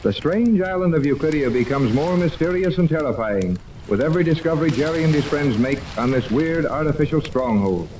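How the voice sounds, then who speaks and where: A man's voice: fairly high pitch, animated, fairly quick, recorded from a distance. A man delivering dramatic 1930s radio serial narration on a noisy old recording. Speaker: announcer.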